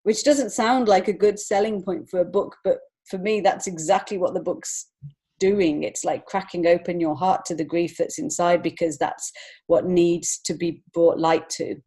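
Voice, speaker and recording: Earnest, lower-pitched woman in conversation. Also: fairly even intonation, normal speed, warm tone, husky. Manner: earnest, encouraging, reflective. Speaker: podcast guest, children's author and illustrator. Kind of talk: conversational interview answers.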